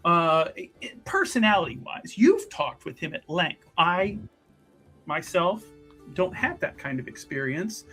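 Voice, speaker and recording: A player doing a bright-voiced, shrewd church investigator. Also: mid-range voice, slightly rough, exaggerated voice. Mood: pointed, dry. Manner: formal, hesitant, wordy, politely needling. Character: shrewd, probing church investigator with formal, insinuating speech. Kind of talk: improvised in-character tabletop roleplay.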